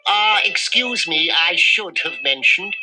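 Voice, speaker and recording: A man's voice: high-pitched, expressive, takes it slowly, pushed and bright, soft background music, voice pushed up, full character voice, rough recording. A man voicing a small male mouse dentist in a storybook, firm, precise and formal in manner. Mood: politely assured.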